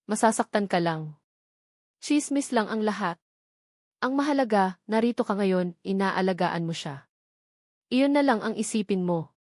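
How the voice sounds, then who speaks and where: A woman reading the part of a kindly older housekeeper, soft and motherly in an audio drama. Her tone is calm and reassuring, recorded close and dry with no room sound. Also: medium pitch, measured pace, pauses often, dry recording, natural voice. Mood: soothing.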